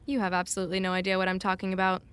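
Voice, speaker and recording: An actress playing an unflappable, wry assistant who teases drily and briskly, in naturalistic audio drama style. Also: female voice, medium pitch, monotone, fairly bright tone, clean tone, no room sound, close to an everyday voice. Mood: wry, knowing.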